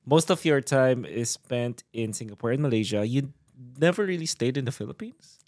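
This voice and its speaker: A man's voice: medium pitch, expressive, normal speed, a little mellow, no echo, studio quality. A man hosting a casual podcast interview, reacting often and feeling out his questions in informal, hesitant phrasing. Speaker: podcast host.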